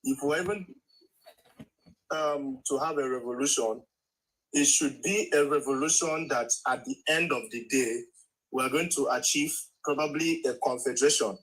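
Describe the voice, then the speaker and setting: A man's voice: mid-range voice, normal speed, a bit bright, rough recording. A man with a Nigerian accent, speaking hesitantly and searching for words in a casual debate.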